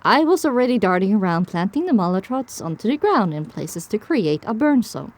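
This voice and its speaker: A woman's voice: medium pitch, very animated, a little fast, darkish tone. A woman narrating an audio drama in the first person with a dramatic, scripted delivery.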